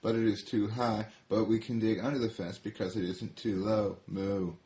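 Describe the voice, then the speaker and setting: A man doing a high-voiced mooing cow. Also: fairly even intonation, moderate pace, warm tone, close to an everyday voice. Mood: pleading. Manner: moos between words. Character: bossy excitable female cow, mooing. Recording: roomy echo.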